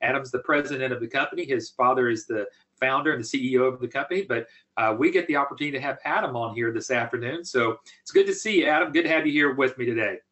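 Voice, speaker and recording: A man's voice: mid-range voice, quick pace, sharp tone. Male webinar host and business coach, mid-range voice, folksy and informal, rephrases as he goes.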